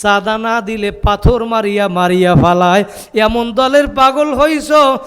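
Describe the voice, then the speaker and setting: A man's voice: high-pitched, measured pace, fairly bright tone, smooth, faint music behind. A man with a Bangladeshi accent preaching an impassioned Islamic sermon, stretching words into chanting calls and putting rhetorical questions to his listeners. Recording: long hall reverb, public-address sound.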